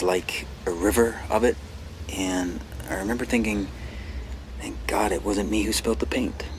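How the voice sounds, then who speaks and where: An actor playing an earnest, haunted young graduate student in a radio drama, halting and plainspoken. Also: male voice, lowish voice, a little hiss or hum, natural voice, rough recording. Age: young adult.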